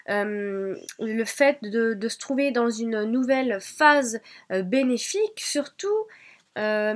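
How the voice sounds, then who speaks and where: A woman with a cold presenting oracle cards, hesitant, with many fillers and restarts. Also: a little high. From France. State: has a cold. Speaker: oracle card reader. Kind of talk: casual card presentation.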